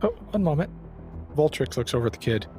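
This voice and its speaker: A man's voice: a little high, sing-song, fast talker, a little mellow, soft background music. A man taking part in a tabletop roleplaying game, talking casually as a player.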